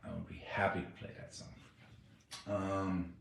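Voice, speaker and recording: Raspy middle-aged man, echoey room. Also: deep voice, a little slow, far from the mic. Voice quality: raspy, worn voice. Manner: rambling and relaxed, chatting to viewers. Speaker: musician hosting a livestream. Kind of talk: casual chat between songs. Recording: very echoey room with loud guitar. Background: acoustic guitar playing.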